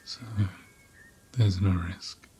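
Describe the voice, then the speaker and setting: A man playing a gentle, caring ram in an ASMR roleplay, speaking in a soft, breathy half-whisper with a soothing, tender manner. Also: low-pitched, steady tone, very slow, slight background noise. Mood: soothing, reassuring. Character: gentle, caring male ram tending an injured lamb.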